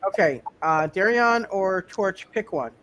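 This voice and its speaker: Guy game mastering a tabletop rpg, high voice, casual, keeps stopping and repeating himself. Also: lively intonation, fairly bright tone, slight background noise. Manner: halting, repeats phrases as he goes.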